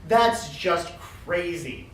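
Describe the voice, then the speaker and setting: A woman's voice: fairly low pitch, animated, drawn-out words. A woman voicing a skeptical, exasperated male draper in a story, incredulous and emphatic. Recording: very echoey room, distant mic.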